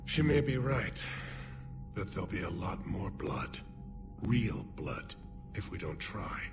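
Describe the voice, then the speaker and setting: Grave alien war leader. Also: male voice, low-pitched, big pitch swings, slow pace, exaggerated voice. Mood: solemn. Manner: solemn, grave, dignified. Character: weary alien military leader, male, grave. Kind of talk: video game voice acting. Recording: game cutscene with music.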